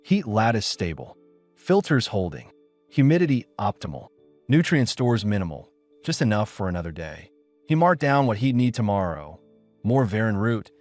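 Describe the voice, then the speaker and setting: Deep male audiobook narrator, expressive pitch. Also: moderate pace, dry recording, faint music behind. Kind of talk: scripted story narration.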